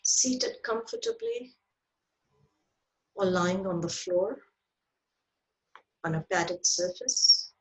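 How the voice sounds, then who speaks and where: A woman guides a meditation in a soft, husky voice. Her delivery is very unhurried and gentle, leaving long pauses between phrases. Her intonation rises and falls widely as she speaks over a video call. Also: medium pitch, huge pitch swings, rough recording. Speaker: meditation teacher leading a yoga nidra. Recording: video call audio with clicks.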